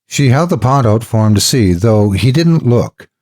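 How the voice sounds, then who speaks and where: A man's voice: medium pitch, animated, moderate pace, a little mellow. A man narrating scripted horror stories dramatically as host, close to the microphone.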